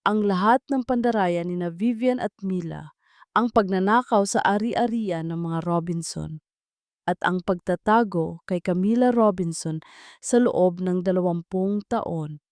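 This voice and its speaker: A woman narrator reads a confrontational young Filipino hero of a love drama. The delivery is deliberate and accusing, with a mellow tone in a dry, close recording. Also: on the low side, no room sound. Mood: firm, accusing. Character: firm, confrontational young man, romantic hero.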